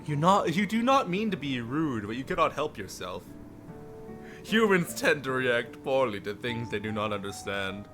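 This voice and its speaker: A man's voice: medium pitch, sing-song, moderate pace, fairly bright tone. A man livestreaming a visual novel, reading aloud theatrically and breaking off for playful, jokey asides. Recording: music behind the voice. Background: faint music.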